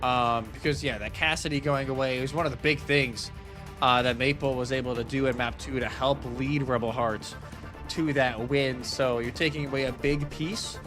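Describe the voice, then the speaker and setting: A man giving conversational, explanatory analysis as a live esports shoutcaster. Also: medium pitch, fairly even intonation, moderate pace. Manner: casual, explanatory. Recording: music behind the voice. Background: music.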